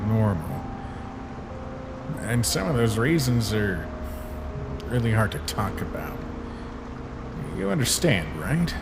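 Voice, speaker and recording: A man's voice: on the low side, background noise. A man voicing a laid-back, guarded skeleton big brother in a fan comic dub, with a casual drawl.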